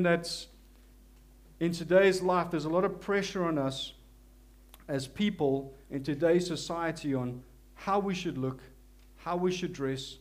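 Earnest South African man preaching. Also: a little high. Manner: earnest, humble, halting with many restarts; prays softly and slowly. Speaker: lay preacher. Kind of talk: church sermon and prayer. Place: church.

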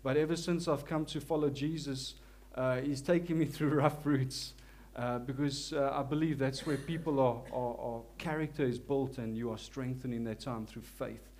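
A man with a South African accent preaching a church sermon, earnest and humble, with frequent restarts and softer, slower prayer passages. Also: medium pitch, steady tone, slight background noise. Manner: prays softly and slowly. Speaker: lay preacher.

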